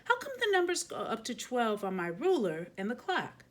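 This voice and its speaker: An eager little storybook girl, as a woman reader puts her on. Her voice lifts high, and she sounds curious and questioning. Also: huge pitch swings, moderate pace.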